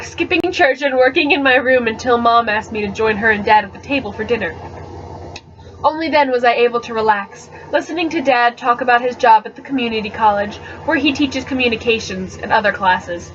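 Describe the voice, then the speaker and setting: High-pitched woman reading a story aloud. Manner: expressive and theatrical, stumbles over words now and then. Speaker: amateur book reader. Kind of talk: dramatic reading of a novel, first-person teen narration. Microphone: webcam microphone. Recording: dull, slightly noisy webcam audio.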